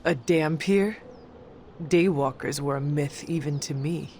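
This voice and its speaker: An actress playing a proud half-demon monster hunter, formal and stern, in a video game. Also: female voice, deep voice, a little slow, darkish tone, a little hiss or hum. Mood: wary.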